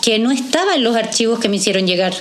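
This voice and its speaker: A woman's voice: fairly high pitch, lively intonation, a little hiss or hum, lightly acted. A woman playing a guarded, direct, caring professional in an audio drama. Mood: firm, sceptical. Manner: direct, measured.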